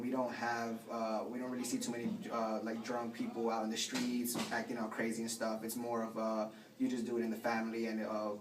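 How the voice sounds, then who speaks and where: A young man from Colombia, a student giving a relaxed, casual interview answer with frequent ums. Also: medium pitch. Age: young adult. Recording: distant mic in echoey crowded place.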